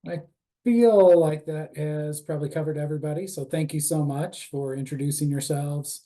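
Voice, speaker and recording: A man's voice: on the high side, normal speed, dark tone. A man hosting an online group meeting, thanking people in short friendly remarks. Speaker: meeting host. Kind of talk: casual video-call chat.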